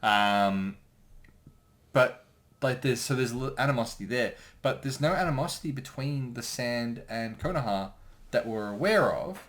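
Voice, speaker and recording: A man chatting casually as a commentator, thinking aloud in a halting way. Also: medium pitch, expressive, fairly bright tone. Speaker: creator giving episode commentary.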